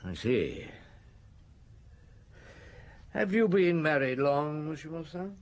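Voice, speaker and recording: An actor playing a calm, probing police chief inspector who questions suspects in a measured way in a radio drama. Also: male voice, fairly high pitch, exaggerated voice. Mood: steady. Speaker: detective.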